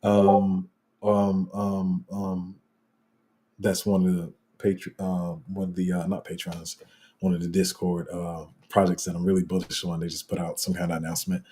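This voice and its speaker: Guy with a deep rumbly low voice, mellow and dark, rambling live stream chat, a little coughy. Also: moderate pace. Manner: rambling and animated, voice jumping up when excited. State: slight cough. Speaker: crypto livestream host. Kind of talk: casual livestream chat reading viewer comments.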